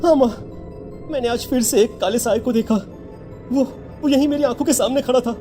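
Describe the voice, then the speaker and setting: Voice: high-pitched, a little fast, mellow, soft background music, close to an everyday voice. A performer voicing a scared teenage son in a cartoon horror story, nervous and repeating words. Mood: frightened. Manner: stammering, breathlessly fearful, repeating words. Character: frightened teenage schoolboy, anxious son haunted by nightmares.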